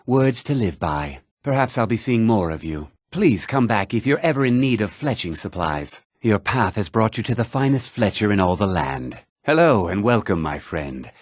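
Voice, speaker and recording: An actor playing a vain, pompous former ship's captain and fletcher with flowery speech in a video game. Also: male voice, fairly low pitch, sing-song, normal speed, few pauses, no echo, studio quality. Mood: smug, genteel. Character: vain, silver-tongued male ex-captain and fletcher. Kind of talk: video game character lines.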